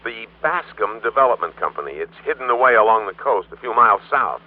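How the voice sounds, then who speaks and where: A man's voice: fairly low pitch, sing-song, brisk. An actor playing a droll, casual insurance manager, teasing his way through a phone scene in an old radio drama. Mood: droll, persuasive. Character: droll, folksy male insurance company desk manager. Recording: tinny, band-limited old radio phone sound.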